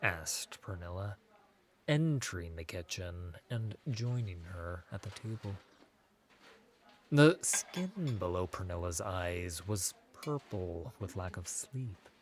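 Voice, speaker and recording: A man narrating a scripted fantasy audiobook in a measured, dramatic storytelling manner. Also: sits low.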